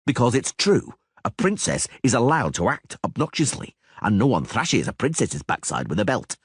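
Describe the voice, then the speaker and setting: A male narrator voicing a weary grown monster hunter who speaks in a curt, dry, gruffly sarcastic way. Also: mid-range voice, sing-song, moderate pace, no echo, pitched above the performer's own voice. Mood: stern. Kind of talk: audiobook character voice.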